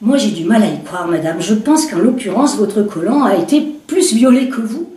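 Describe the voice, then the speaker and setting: A woman's voice: mid-range voice, expressive, moderate pace, warm tone, lightly acted. A woman voicing a skeptical male police commissioner who answers in a dry, matter-of-fact way. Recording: roomy echo, distant mic.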